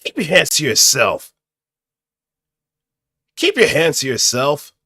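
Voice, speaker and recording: Man acting a loud, indignant game fighter. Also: fairly high pitch, wildly swooping pitch, slow pace, breathy edge, pitched above the performer's own voice, heavily acted. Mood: indignant, warning. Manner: loud, forceful shouted barks. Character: indignant fighting game character warning others off. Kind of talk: video game battle voice lines.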